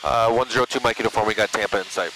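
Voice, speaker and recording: A man's voice: on the low side, racing, crowd noise, poor audio. A man chatting casually and making radio calls as a pilot, in a laid-back, informal way, on an aviation headset in a small plane. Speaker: private pilot working the radios. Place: inside a small propeller plane in flight. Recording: loud small-plane cockpit noise.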